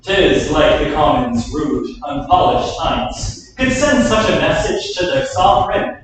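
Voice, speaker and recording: A man's voice: fairly high pitch, very animated, a little slow, a little hiss or hum. A man reading the part of a proud, defiant duke in a staged Shakespeare reading. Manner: defiant, declamatory. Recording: echoey hall, distant mic.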